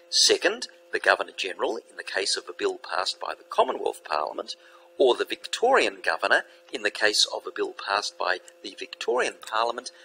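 A man giving a recorded lesson, explaining in a measured, methodical way. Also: fairly low pitch, vocal fry, fairly bright tone, husky, low-quality recording. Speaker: teacher. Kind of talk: recorded lecture over slides.

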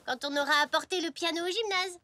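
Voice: very high-pitched, fast. An actor voicing an eager, polite young zebra child in a children's cartoon, earnest and childlike. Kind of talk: French children's cartoon dubbing. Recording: loud music behind.

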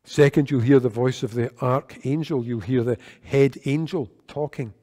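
A man, preaching an earnest, measured sermon at a church lectern microphone. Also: mid-range voice, dark tone. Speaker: worship leader. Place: church sanctuary. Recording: long church reverb.

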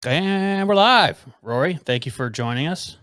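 A man's voice: on the high side, expressive, unhurried, a bit bright, soft background music. A man co-hosting a podcast, asking his guest questions in an easygoing, friendly way over a video call. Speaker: podcast co-host. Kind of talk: casual interview chat.

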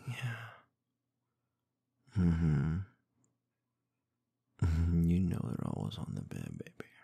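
A man with a low, dark voice murmurs softly into a close microphone for intimate ASMR. His delivery is very slow and teasing, slipping between gravelly tones and breathy whispers. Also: huge pitch swings, no room sound. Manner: soft, teasing, slow murmuring with small chuckles and hums. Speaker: ASMR roleplay performer. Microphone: close binaural microphone.

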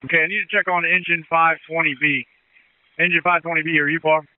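A man's voice: fairly high pitch, little pitch movement, fast talker, smooth, no room sound. A man giving terse, urgent orders as fire incident commander over a two-way radio. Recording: tinny band-limited two-way radio traffic.

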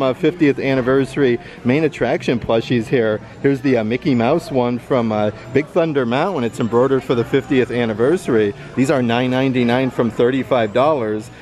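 A man's voice: medium pitch, darkish tone. An American man giving an upbeat, enthusiastic, casual running narration as a shopping vlogger. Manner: upbeat, enthusiastic showing-and-telling. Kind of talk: casual vlog narration of store items. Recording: music behind the voice. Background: background music.

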